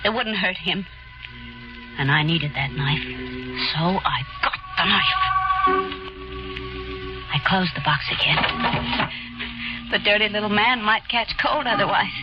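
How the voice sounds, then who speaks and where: A woman's voice: medium pitch, sing-song, a little slow, pauses often. A frightened young carousel girl. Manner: tense, breathless first-person narration. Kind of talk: 1940s radio acting. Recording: muffled 1940s radio with loud music.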